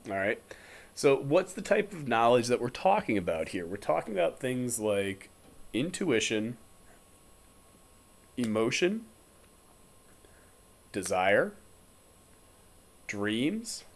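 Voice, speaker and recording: A man in his twenties with an American accent, giving an informal philosophy lecture in a deliberate, repetitive way and checking in with his listeners. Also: mid-range voice, sing-song, vocal fry. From Connecticut, United States. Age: 20s. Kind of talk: informal explanatory lecture over slides.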